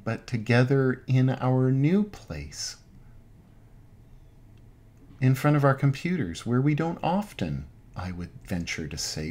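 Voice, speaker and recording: A middle-aged man, an Anglican priest, giving an informal online sermon from his home den in measured, halting phrases. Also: mid-range voice, darkish tone. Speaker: Anglican priest, parish pastor. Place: small home den with bookshelves.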